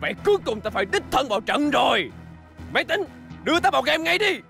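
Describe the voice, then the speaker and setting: A pompous little alien villain from a cartoon. The voice is high, bright and very animated, scornful and quick to bark orders. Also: male voice, vocal fry, soft background music, full character voice. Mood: angry, commanding. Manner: bossy, scornful, theatrical. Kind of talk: Vietnamese cartoon dub.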